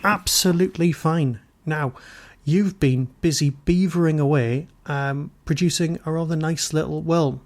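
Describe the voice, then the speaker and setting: A man with a voice a little higher than average hosts a podcast. He talks in a casual, chatty way, rambling as he goes and happy to poke fun at himself. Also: measured pace. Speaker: podcast host.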